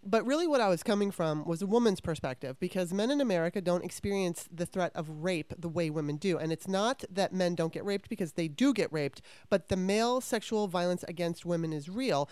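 A woman's voice: fairly low pitch, brisk, few pauses, clear, resonant tone, no echo. Chatty woman podcast host, dry sound. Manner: chatty, animated, frequent restarts. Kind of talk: casual interview chat.

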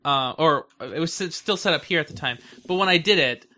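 A man co-hosting a casual podcast, talking informally in a halting, self-interrupting way. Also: a little high, pushed and bright. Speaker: podcast co-host.

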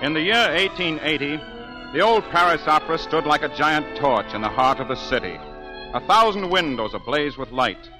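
An actor playing a wistful opera singer who recounts dark past events in measured 1940s radio drama narration. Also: male voice, on the high side, lively intonation, over music, lightly acted, low-quality recording. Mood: ominous. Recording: old 1940s radio broadcast.

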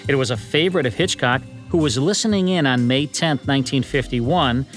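A man's voice: medium pitch, a little fast. A man with an American accent reading scripted narration as a podcast co-host. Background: music.

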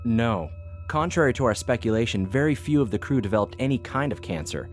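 A man's voice: medium pitch, expressive, brisk, faint music behind, close to an everyday voice. An actor playing an eager, deferential intelligence analyst giving a thorough briefing to his superior in an audio drama. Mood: matter-of-fact. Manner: earnest, thorough, formal briefing delivery.

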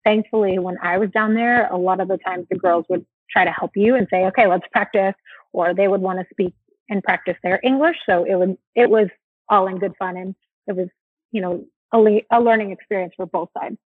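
A young adult woman from the United States, talking casually as an interview guest, relaxed and chatty with many fillers and restarts. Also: medium pitch, brisk, no echo. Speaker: interview guest, former soccer player. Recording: dry tinny phone-like line.

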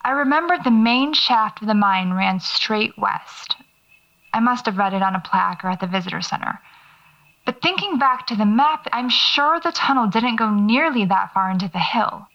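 A woman's voice: on the high side, expressive, normal speed, fairly bright tone. An actress playing a nervous, wry horror writer who records her eerie explorations on a lo-fi tape, muttering to herself in an audio drama. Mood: shaken, reflective. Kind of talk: audio fiction acting, recorded field diary. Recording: dull lo-fi cassette tape sound.

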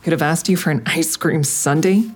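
Low, husky, scornful interrogator. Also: female voice, normal speed. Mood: sardonic. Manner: terse, pointed questioning. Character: hard-edged woman interrogating an old enemy, skeptical, scornful. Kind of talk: full-cast audio drama acting.

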